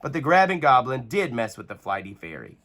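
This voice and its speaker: A man reading a children's picture book aloud with expressive, animated storytelling. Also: medium pitch, a little fast.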